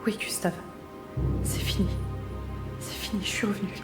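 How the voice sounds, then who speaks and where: A woman's voice: mid-range voice, fairly even intonation, moderate pace, close to an everyday voice. A woman playing a determined, earnest runaway in a French sci-fi audio drama. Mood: hushed, tender. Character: determined, earnest female runaway seeking her lost father. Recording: roomy echo, music behind.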